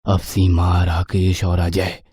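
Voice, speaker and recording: A man with a husky voice reading scripted horror story narration. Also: sits low, completely flat delivery, slow pace, mellow, no room sound, clean studio sound.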